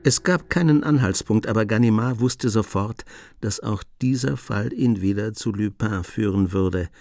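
A man narrating a radio play in a measured, storytelling manner. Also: on the low side, creaky, a little mellow, no room sound, clean studio sound, soft background music.

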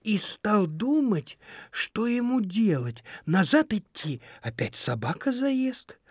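A woman narrating a children's story in an expressive, dramatic storytelling manner. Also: medium pitch, slow, husky, dry recording, clean studio sound. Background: music.

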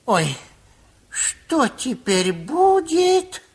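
An actor playing a fretful, suspicious pharmacist-healer in a Soviet children's radio play. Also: male voice, very high-pitched, sing-song, drawn-out words, full character voice. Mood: alarmed.

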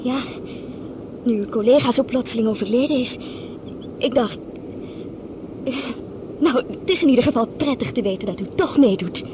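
A woman acting as a courteous airline stewardess in a radio drama. Her voice is high and she speaks with hesitant, inquisitive politeness. A loud rumble sits behind every word. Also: normal speed, pauses often, close to an everyday voice. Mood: hesitant, curious. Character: polite, curious young female air stewardess. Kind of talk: Dutch radio play acting.

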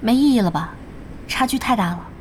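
Woman playing a calm young gamer, highish voice. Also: brisk, slight background noise, natural voice, low-quality recording. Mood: matter-of-fact. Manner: matter-of-fact, polite. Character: calm, self-assured young female internet cafe gamer. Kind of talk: Chinese audio drama acting.

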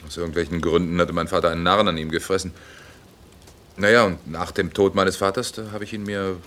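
A man's voice: sits low, steady tone, fairly quick, a bit bright. An actor playing an upright, world-weary man in a German radio play, grumbling and emphatic. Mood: recollecting.

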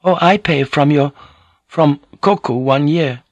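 Man voicing a high, polite woman. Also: unhurried, a little mellow, dry recording, highs cut off. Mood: polite, reassuring. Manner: polite, apologetic, careful foreign-sounding English. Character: gentle, deferential Japanese woman, earnest and coaxing. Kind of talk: audiobook character voice.

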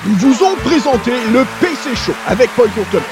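Very high-pitched radio announcer, male. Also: very animated, normal speed, over music. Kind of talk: scripted radio closing credits.

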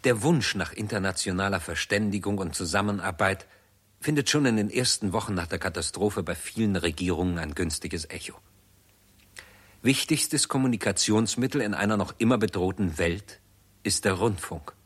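A man narrating a 1960s German science fiction radio play as a sober chronicler, formal and measured, with a husky voice. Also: low voice. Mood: sober, factual.

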